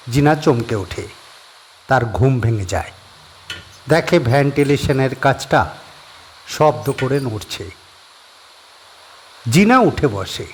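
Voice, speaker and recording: A man narrates a scripted horror audio story, laying out each scene in a steady, descriptive way. His voice sits at an ordinary pitch for a man, and a faint hiss runs under the recording.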